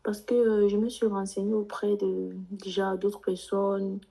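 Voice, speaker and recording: A woman's voice: medium pitch, level tone, measured pace, creaky, warm tone. A native English-speaking woman, an international student asking for advice spontaneously in a hesitant, deferential way. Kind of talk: spontaneous question in a workshop.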